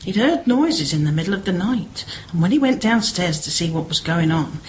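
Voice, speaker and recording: Actress as chatty village sister, radio play, persuasive, very lively intonation, faint background noise. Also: female voice, lowish voice, moderate pace, lightly acted, low-quality recording. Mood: confiding, worried. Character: Martha, chatty, concerned village woman, sister seeking help. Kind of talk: amateur radio play acting.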